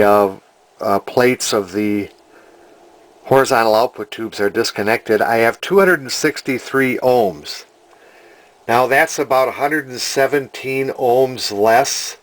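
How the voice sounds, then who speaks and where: A man giving an informal, halting hands-on repair demonstration in an indoor workshop, explaining as he goes. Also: medium pitch. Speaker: amateur vintage TV restorer.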